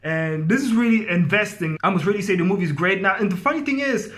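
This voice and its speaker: High-pitched man, casual movie reviewer. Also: fast, a bit bright. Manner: enthusiastic, informal, repeats phrases for emphasis.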